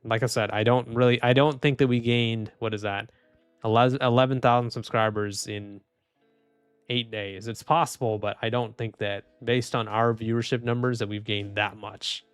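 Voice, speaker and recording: A man live streaming and reading chat, speaking softly and calmly with frequent restarts. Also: medium pitch, normal speed, clear, resonant tone, no echo. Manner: soft-spoken, calm, trailing off with restarts and small laughs. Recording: dry close mic, faint music underneath.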